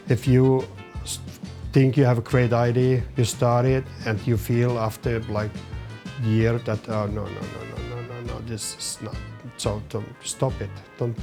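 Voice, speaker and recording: A middle-aged man from Finland with a Finnish accent, a chef answering interview questions in halting English, searching for words as he goes. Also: mid-range voice, vocal fry. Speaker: chef and business owner.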